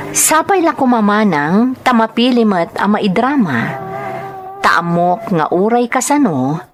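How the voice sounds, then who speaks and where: A woman's voice: lowish voice, a little hiss or hum. A woman with a Filipino accent playing a troubled mother working abroad in an online audio drama.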